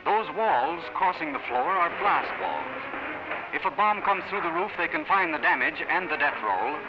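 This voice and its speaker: A man's voice: a little high, expressive, normal speed. A man narrating a scripted 1940s wartime propaganda film. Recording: dull 1940s film soundtrack. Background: loud music.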